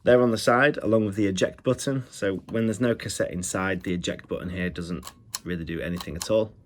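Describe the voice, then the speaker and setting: A man's voice: low-pitched, steady tone, brisk, slight background noise. A man hosting a casual hands-on product review, chatting enthusiastically about small details. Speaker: retro electronics review host.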